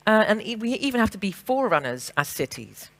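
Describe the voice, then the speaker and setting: A woman with an English accent moderating a conference panel, frank and brisk with frequent fillers and restarts. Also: medium pitch, sing-song. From England and the Netherlands. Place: conference stage.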